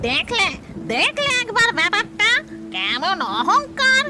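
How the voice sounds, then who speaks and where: A woman's voice: extremely high pitch, sing-song, a little slow, heavy background noise, exaggerated voice. A woman voicing a complaining, exclamatory female village ghost in a cartoon. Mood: grumbling. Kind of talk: cartoon voice acting. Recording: loud music over the voice.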